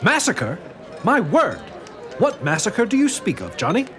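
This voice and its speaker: A man's voice: high-pitched, huge pitch swings, unhurried, fairly bright tone, soft background music, full character voice. An actor playing a principled statesman who speaks in a formal, proper way.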